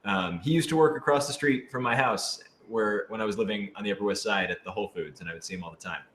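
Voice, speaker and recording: A man's voice: fairly low pitch, fast, a bit bright, a little hiss or hum. A man in a casual Q&A chat, effusive and jumping from one thought to the next. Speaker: voice actor in an audio drama. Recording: roomy, echoey room sound.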